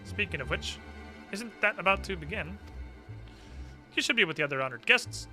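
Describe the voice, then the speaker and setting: A man plays a dutiful fantasy game guard with a bright, fairly high voice. He speaks with formal, old-world courtesy over faint music. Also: expressive, normal speed, exaggerated voice. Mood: dutiful, courteous.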